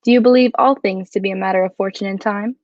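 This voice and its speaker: A woman's voice: medium pitch, fairly even intonation, fast talker, darkish tone, dry recording, lo-fi sound, close to an everyday voice. A woman acting a clever, bold, flirtatious adventuress in a radio drama, with a poised, formal and coy way of speaking. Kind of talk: adaptation of a 1940s radio drama.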